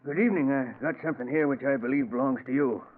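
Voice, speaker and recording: A man's voice: medium pitch, quick pace, lightly acted. An actor playing a folksy, chatty, plain-spoken delivery man in 1940s radio drama style. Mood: friendly. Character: affable, plain-spoken working-class male delivery man. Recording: tinny 1940s radio recording.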